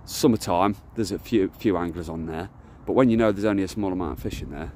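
Low-voiced man rambling by a lake. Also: quick pace, darkish tone, slight background noise. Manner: rambling, chatty, self-correcting. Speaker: carp angler vlogger. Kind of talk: casual walk-and-talk vlog. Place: outdoors by a lakeside, walking through bushes. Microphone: phone camera held while walking.

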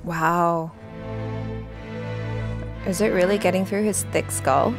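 A woman's voice: on the low side, fairly even intonation, slow. A woman hosting a reaction video, giving casual commentary and talking back to characters in exclamations. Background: loud game music and sound.

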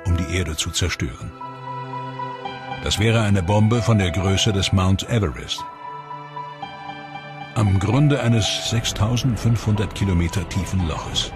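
A man narrating a science documentary in a calm, measured, scripted delivery. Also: deep voice. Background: music.

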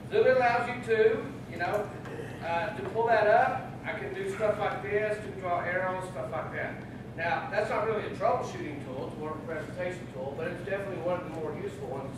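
A middle-aged man giving a casual technical presentation to an audience, often checking in with "right?". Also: high voice. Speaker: presenter at a user group meeting. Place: large meeting room with audience. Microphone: room recording from a far camera. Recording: very echoey room, distant, noisy.

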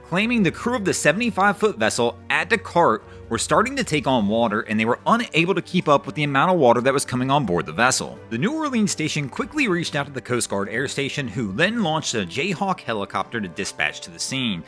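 A man hosting a weekly boating news roundup, reading scripted stories in a lively, rising and falling voice-over. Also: mid-range voice, brisk. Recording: voice-over with faint background music.

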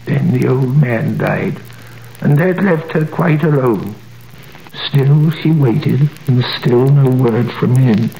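A man's voice: mid-range voice, takes it slowly, vocal fry, mellow. An actor playing a man who tells a sad story in a confiding, mournful way in a 1930s radio drama. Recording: echoey old radio recording with hiss.

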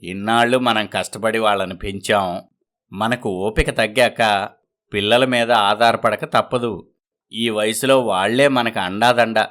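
A man's voice: on the low side, steady tone, measured pace, fairly bright tone. A man voicing an ailing, resigned village man of seventy who talks in a weary, complaining way.

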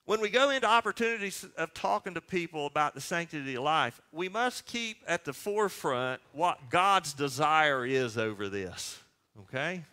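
A man's voice: a little high, lively intonation, moderate pace, pushed and bright. A man in his sixties preaching a sermon as pastor, speaking emphatically and posing questions that he answers himself. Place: church sanctuary.

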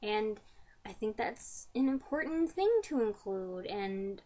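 A woman's voice: mid-range voice, expressive, takes it slowly, a little mellow. A woman in her twenties chatting casually to camera, giving an enthusiastic fan review in informal slang. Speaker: vlogger reviewing radio drama. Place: small furnished room indoors.

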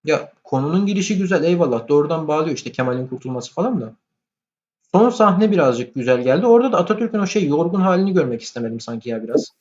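A man chatting casually and colloquially about films, opinionated and animated. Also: on the high side, fast, highs cut off.

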